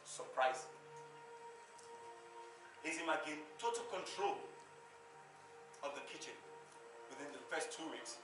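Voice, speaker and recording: A man's voice: fairly high pitch, measured pace, pauses often. A man narrates a stage play in an emphatic, declamatory storytelling style, recorded on an echoey stage. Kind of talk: dramatic theatrical storytelling. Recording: echoey stage, distant mic, background music.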